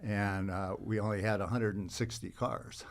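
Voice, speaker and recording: Halting, rambling man telling anecdotes. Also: lowish voice, flat delivery. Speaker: podcast guest, actor and car show organizer. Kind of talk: casual chat, telling anecdotes.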